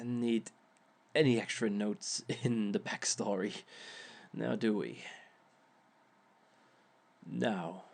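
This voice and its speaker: A man playing a suave, smug reformed villain who lectures as a relationship expert in a theatrical, measured, drawn-out way. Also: mid-range voice, darkish tone. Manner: theatrical, measured, drawn-out phrasing with sly chuckles. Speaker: speaker leading a seminar session. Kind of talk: scripted in-character audio roleplay lecture.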